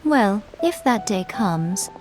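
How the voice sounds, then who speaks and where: A woman's voice: mid-range voice, big pitch swings, slow, over music. Sly, lilting, teasing lady. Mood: teasing, probing. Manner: coy, knowing. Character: sly, playful, teasing, elegant woman. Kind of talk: video game character voice acting.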